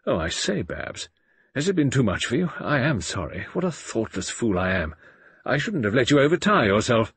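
A man voicing a bluff, sheepish, concerned English gentleman in an audiobook, hearty and apologetic. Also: medium pitch, sing-song, moderate pace, a little hiss or hum, pitched above the performer's own voice. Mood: contrite.

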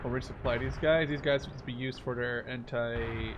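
A man's voice: mid-range voice, steady tone, moderate pace. A man in his twenties giving casual, sweary let's-play commentary, musing aloud as he plays. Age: 20s. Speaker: gamer commentator. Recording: game battle noise behind voice. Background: game gunfire and vehicles.

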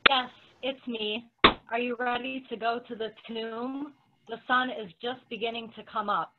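A woman acting as a devoted, grateful follower in a dramatic reading. Her fairly high voice testifies earnestly through thin, phone-like audio. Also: fairly even intonation, unhurried, very noisy, natural voice. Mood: somber. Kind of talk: amateur dramatic dialogue reading. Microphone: video call. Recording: tinny, phone-like video-call audio.

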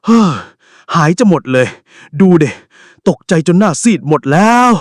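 A man's voice: sits high, animated, unhurried, no room sound, studio quality. Man voicing a jumpy cowardly ghost hunter. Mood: rattled. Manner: cowardly, loud, complaining. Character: jumpy, greedy elder ghost-hunting cameraman. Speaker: cartoon voice actor.

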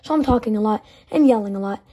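A woman with a hoarse voice from yelling, counting down her toy figure collection in a loose, chatty way, with plenty of "um" and "so yeah", filmed on a phone in a small indoor room. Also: mid-range voice, quick pace. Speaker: toy collector channel host. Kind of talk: casual video countdown.

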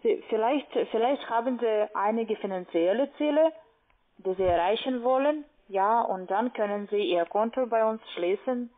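Casting: woman, non-native with foreign accent, halting phone sales agent, thin telephone sound. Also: fairly high pitch. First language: not German. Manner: halting, searching for words. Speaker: call-center investment agent. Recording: tinny narrow phone line.

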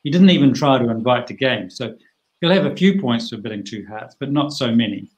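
A middle-aged man explains a card hand over a video call. He talks in a chatty, plain-spoken way, tossing in lively asides. His voice sits at an ordinary pitch. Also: fairly quick, poor audio. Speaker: bridge teacher.

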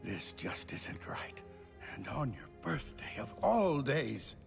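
A distressed, middle-aged royal father from an animated film. He protests slowly and indignantly in a creaky, gravelly voice with lively pitch. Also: faint music behind, full character voice.

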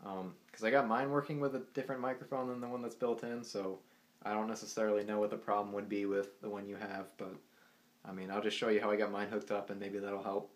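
A man's voice: fairly low pitch, flat delivery, fast talker. A man gives an informal, laid-back tutorial, recorded at arm's length in a bedroom. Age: 20s. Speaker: video tutorial presenter. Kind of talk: casual informal tutorial chat. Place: small bedroom, indoors. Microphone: phone or camera at arm's length.

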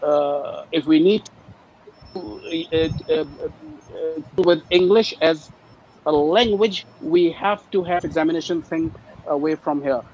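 Halting male teacher, native Urdu speaker. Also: on the high side, a little mellow, muffled recording, a little hiss or hum. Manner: halting, many hesitations. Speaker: ESL teacher. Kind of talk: panel discussion. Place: teaching abroad. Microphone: video call.

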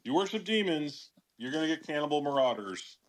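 A man's voice: on the high side, very animated, fairly quick, low-quality recording. A man with a Chicago accent, talking bluntly and dismissively in a casual podcast discussion. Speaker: show regular.

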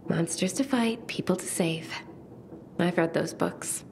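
An actress playing a pensive, sensitive young bookish survivor in a video game, speaking in measured, formal phrases. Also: female voice, fairly low pitch, vocal fry, darkish tone, a little hiss or hum. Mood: resigned, tender. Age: young adult. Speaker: game character. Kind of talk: video game cutscene dialogue.

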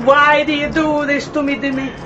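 An actress playing an elderly, chatty mother in a radio drama, rambling and gently scolding as she reminisces. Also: high-pitched. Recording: dull, noisy radio play recording.

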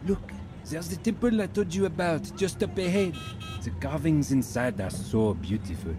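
An actor playing a dreamy, self-absorbed young husband in an audio drama, earnest and a little defensive. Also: male voice, on the high side, lively intonation, normal speed, music in the background, natural voice. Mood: earnest, eager.

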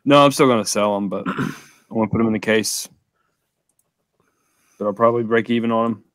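Low-voiced man in casual chat. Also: fairly quick, fairly bright tone, no echo, studio quality. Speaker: podcast co-host. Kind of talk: casual chat about collectibles. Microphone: close mic on a video call.

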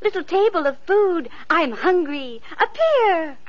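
A woman playing a gentle, kind young girl in a vintage radio play, speaking sweetly and coaxingly. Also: extremely high pitch, very animated, measured pace, noisy background, heavily acted. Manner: sing-song, sweet and coaxing. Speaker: radio actress. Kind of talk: 1940s radio acting. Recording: muffled old radio recording.

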